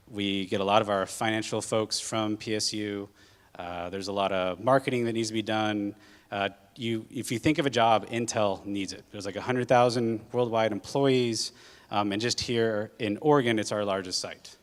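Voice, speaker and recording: Man from Hawaii, low voice, casual guest-speaker talk full of fillers, jet-lagged, lingering hall reverb. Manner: conversational, with many filler ums and uhs. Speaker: guest speaker, corporate general manager. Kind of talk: casual public talk to students.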